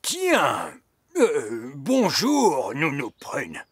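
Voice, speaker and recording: A man voicing a wise, stern old elf teacher in a children's cartoon, pedantic and measured. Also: a little high, huge pitch swings, husky, no room sound, heavily acted. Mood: instructive, stern. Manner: pedantic, measured, drawn-out praise.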